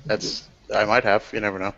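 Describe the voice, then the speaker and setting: A man answers in character at a tabletop roleplaying session, laid-back and dry. He talks quickly in a low voice. He sounds distant from the mic, and the recording is muffled and lo-fi. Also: level tone, creaky, bright, forceful tone.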